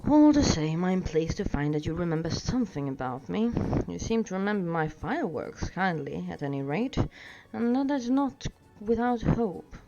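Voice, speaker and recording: A woman's voice: on the low side, big pitch swings, moderate pace, dark tone. A woman voicing a stern, teasing old wandering wizard in a wordy, pointed storybook reading. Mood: amused, commanding. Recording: dull sound with music behind.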